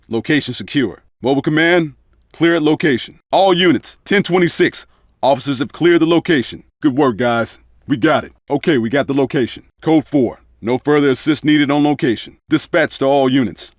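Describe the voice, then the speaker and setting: An actor playing an undercover police officer in a video game, giving brisk, commanding tactical callouts in a clipped way. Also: male voice, mid-range voice, no echo, studio quality, lightly acted. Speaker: video game player character voice. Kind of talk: video game combat callouts and radio dispatch reports.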